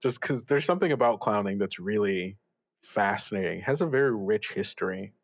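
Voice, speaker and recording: A man playing a reassuring older radio mentor in an improvised audio drama, giving gentle advice in a halting, rambling way. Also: lowish voice, animated, gravelly, dry recording. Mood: cheerful. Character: reassuring older male radio mentor, upbeat, evasive about troubles. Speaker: student radio show host. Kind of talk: improvised actual-play audio drama, conversational.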